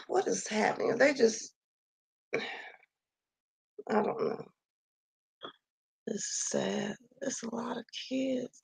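A woman who is losing her voice reads names from a missing persons list on a screen share and thinks aloud in a soft, slow, sighing way. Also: medium pitch, dry recording, low-quality recording. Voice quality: gravelly, slightly husky voice. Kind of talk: casual screen-share commentary. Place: at home near Kansas City.

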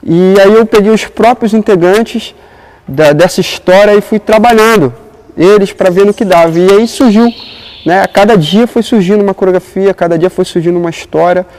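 Brazilian man, late 20s, dance teacher, relaxed fill-laden storytelling on lapel mic outdoors, slightly high voice. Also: moderate pace. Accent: Brazilian Portuguese. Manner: relaxed storytelling with frequent fillers. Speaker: dance teacher and company director. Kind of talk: documentary interview. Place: outdoors in front of a painted mural.

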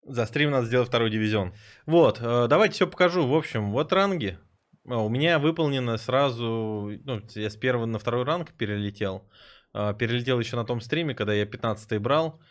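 A man streaming a game live, chatting with viewers in a rambling way and reacting loudly to the action. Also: medium pitch, brisk. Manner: excitable, rambling, swears, jumps between chatting and reacting to the game. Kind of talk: live gameplay commentary and chat.

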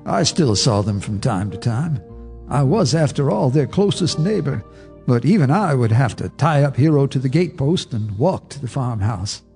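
An actor narrating as an old neighbor recalling dark events, grave and measured, in a radio drama. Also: male voice, medium pitch, animated, faint music behind, close to an everyday voice. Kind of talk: scripted storytelling narration.

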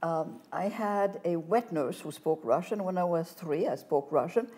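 Older woman, warm low voice, animated storytelling, studio interview, lapel mic. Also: moderate pace. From France. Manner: animated storytelling, gestures with hands. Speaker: interview guest. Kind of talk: reflective life-story interview. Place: studio set, seated in armchair.

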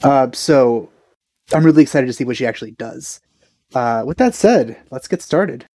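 A man's voice: medium pitch, lively intonation, moderate pace, warm tone, no echo. A man in his thirties giving casual, enthusiastic reaction commentary as a video host, close on the mic. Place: small indoor room.

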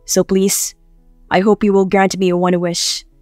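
A woman playing a tender, earnest young man in love in a romantic audiobook, speaking softly. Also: medium pitch, little pitch movement, takes it slowly, no room sound, soft background music, lightly acted. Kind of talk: romantic audiobook character acting.

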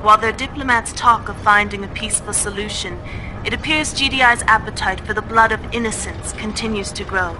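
An actress playing a female propaganda news presenter who reads a scripted broadcast in a smooth, persuasive way. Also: mid-range voice, brisk, background noise, lightly acted.

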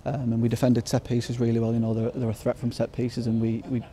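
A man's voice: mid-range voice, completely flat delivery, fast talker, warm tone. A young footballer in his twenties, giving a modest, upbeat post-match interview outdoors at the ground at night, with lots of fillers and restarts.

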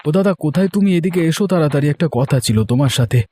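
A man's voice: fairly high pitch, animated, rapid-fire, mellow, slight background noise, close to an everyday voice. A man voicing an earnest, dutiful young brother in a cartoon moral story, with a familiar way of talking. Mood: eager, apologetic.

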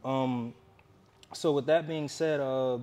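A young man interviewing a guest in a relaxed, halting way, full of fillers and restarts. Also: mid-range voice. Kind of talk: casual interview.

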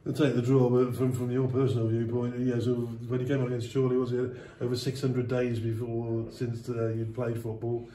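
A man interviewing a player after a match, asking quick, friendly questions. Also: mid-range voice, completely flat delivery, mellow, slight background noise. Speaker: club media interviewer. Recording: echoey room.

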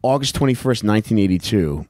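Man, thirties, lowish voice, animated delivery, blunt casual storytelling, dry close-mic sound. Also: fast talker, dry recording. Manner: blunt, profane. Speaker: podcast co-host. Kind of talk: casual comedic true-crime chat. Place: small indoor podcast room on couches. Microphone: handheld microphone held close.

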